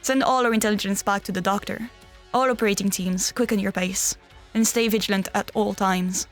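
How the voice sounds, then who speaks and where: A woman's voice: mid-range voice, fairly even intonation, fairly quick, lightly acted. A woman acting a composed, authoritative field commander in a game fan dub, formal and businesslike. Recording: background music under the voice.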